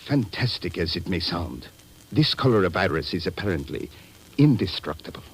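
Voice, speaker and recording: An actor playing a grave, courteous government doctor, speaking formally and solemnly in an old radio drama. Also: male voice, sits low, sing-song, moderate pace, slightly rough, exaggerated voice. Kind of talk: 1940s radio acting.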